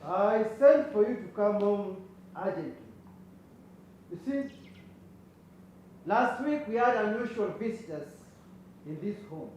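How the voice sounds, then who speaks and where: A Kenyan man playing a calm, dignified father in a stage play, speaking in measured, formal phrases from far off. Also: sits high. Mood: grave. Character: reassuring middle-aged father, calm, dignified head of household. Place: stage, distant microphone. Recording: echoey stage recording from far off, faint noise.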